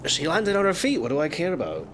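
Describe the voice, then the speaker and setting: A man's voice: on the high side, huge pitch swings, fast talker, a bit bright, slight background noise. A man playing a tabletop game, trading casual banter in character.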